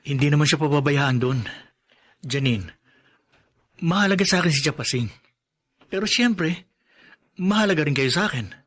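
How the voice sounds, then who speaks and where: An actor playing a dutiful young husband, earnest and reassuring, in a Filipino radio drama. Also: male voice, on the high side, expressive, normal speed, long pauses, fairly bright tone.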